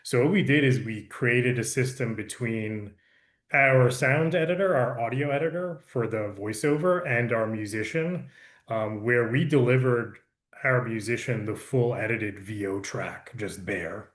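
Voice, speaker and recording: A man's voice: mid-range voice, measured pace. A man, an audiobook producer, casually explaining his work in an interview. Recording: echoey, roomy sound.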